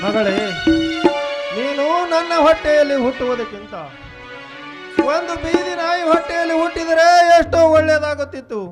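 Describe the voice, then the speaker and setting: A man's voice: extremely high pitch, moderate pace, long pauses. A man playing a fearful, sorrowful poor father in a melodramatic stage drama, lamenting and repeating the ends of his phrases. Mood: bitter lament. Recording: stage recording under very loud music.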